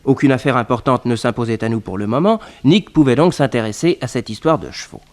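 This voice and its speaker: Animated storytelling narrator played by a man. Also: mid-range voice, fast talker, lightly acted. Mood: proud, storytelling. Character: proud nephew of a detective, recounting adventures. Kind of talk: storytelling narration in 1960s radio serial.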